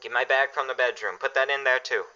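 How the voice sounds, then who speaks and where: A man playing a forceful, steady family father. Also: mid-range voice, fast talker. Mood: controlled, urgent. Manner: firm, reassuring, directive. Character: steady, commanding family father preparing for disaster. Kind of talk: radio drama acting. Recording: dull, band-limited recording.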